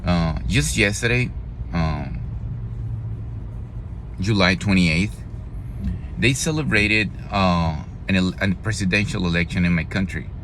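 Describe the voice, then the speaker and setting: A man's voice: low-pitched, unhurried, long pauses, poor audio. A man from Venezuela with a Venezuelan Spanish accent, talking heatedly in a casual political monologue, with fillers, restarts and swearing. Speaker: vlogger. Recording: loud traffic noise outdoors.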